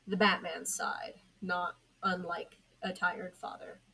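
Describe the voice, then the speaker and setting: A woman's voice: fairly low pitch, level tone, takes it slowly, pushed and bright. A woman reading scripted fiction aloud as an amateur audio story narrator. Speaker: podfic narrator. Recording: noisy amateur home recording.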